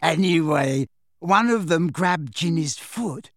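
A man voicing a calm, dreamy teenage girl in a storybook, with a soft, wistful, airy manner. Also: fairly high pitch, slow pace, no room sound, studio quality. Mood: hushed, dreamy.

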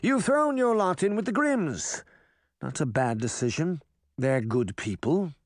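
Magic mirror villain, played by a man, menacing, mocking, theatrical, swooping pitch, storybook. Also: sing-song, no room sound, studio quality. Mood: coldly taunting. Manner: slow, taunting, theatrical.

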